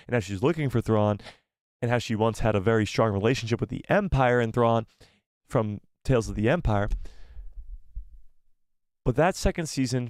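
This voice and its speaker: A man's voice: medium pitch, a little mellow, clean tone, dry recording. Guy hosting a live stream, losing his voice, dry close mic, super opinionated. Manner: opinionated, ranking and reading chat replies aloud. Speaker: host of a live fan show.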